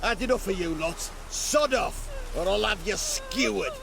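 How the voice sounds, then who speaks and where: An actor playing a surly, foul-mouthed checkpoint guard in a video game, gruff and impatient. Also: male voice, high-pitched, unhurried, background noise. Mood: irritated.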